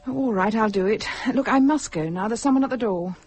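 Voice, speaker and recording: An actress playing a wary, polite wife in a radio drama, speaking in a proper and slightly guarded way. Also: female voice, on the high side, fast talker, a crowd in the background, close to an everyday voice. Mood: concerned, hurried.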